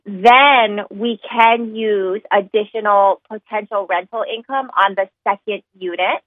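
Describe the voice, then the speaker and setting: A woman's voice: medium pitch, measured pace, no room sound. A woman who works as a mortgage lender, explaining loan rules in a conversational way with plenty of ums and likes. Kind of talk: explaining on a talk show. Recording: tinny phone line.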